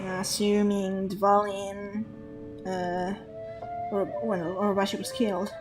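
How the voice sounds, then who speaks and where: Woman streamer, unhurried, hesitant, thinking aloud, faint game music behind. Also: medium pitch, level tone. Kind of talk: gameplay commentary.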